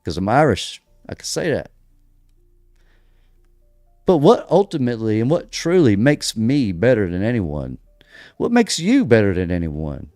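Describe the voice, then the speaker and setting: An American man hosting a religious podcast, delivering emphatic commentary full of rhetorical questions, pauses and restarts. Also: fairly low pitch, wildly swooping pitch, a little mellow, no room sound, studio quality, faint music behind. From United States. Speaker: podcast host. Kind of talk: preaching-style religious commentary.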